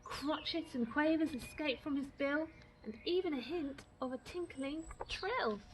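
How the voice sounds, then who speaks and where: Higher-voiced woman reading a storybook, noisy recording. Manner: dramatic, deliberate storytelling delivery. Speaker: storytime reader. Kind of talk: expressive children's storybook reading in rhyme.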